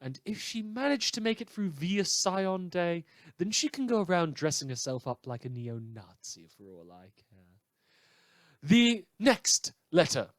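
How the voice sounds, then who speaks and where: A man performs a wry British newsreader for a fictional radio show. The delivery is theatrical and world-weary, in a slightly high voice that rises and falls dramatically. It is recorded dry and close. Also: big pitch swings, a little slow, no echo. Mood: droll, exasperated. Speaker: podcast performer playing a broadcast host. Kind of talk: scripted fictional radio broadcast.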